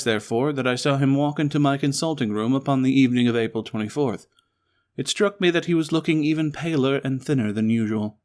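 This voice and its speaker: A man narrating a classic story as a dramatic one-man performance, in a formal, measured and theatrical manner. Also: medium pitch. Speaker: actor narrating a story as Doctor Watson.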